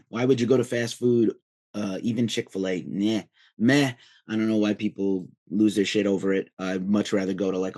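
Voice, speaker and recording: Chatty, low-voiced male interviewer. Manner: chatty and enthusiastic, with tangents and laughs. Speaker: podcast host, interviewer. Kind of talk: casual interview chat.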